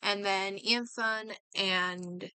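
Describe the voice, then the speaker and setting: A woman's voice: mid-range voice, fairly even intonation, very slow, bright, forceful tone, smooth, no room sound. A woman game streamer chatting casually as she plays, muttering and thinking aloud to herself. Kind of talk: casual livestream chat while playing a game.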